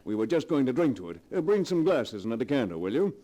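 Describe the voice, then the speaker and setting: An actor playing a suave, ruthless, scheming millionaire in a 1940s radio drama, with a commanding, theatrical manner. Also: male voice, mid-range voice, big pitch swings, fast talker, mellow. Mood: pleased, suave. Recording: vintage 1940s radio recording.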